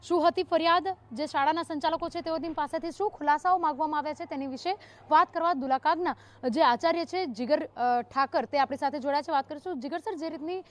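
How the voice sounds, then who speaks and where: Live news reporter: woman, high-pitched voice, interviewing on air. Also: fast.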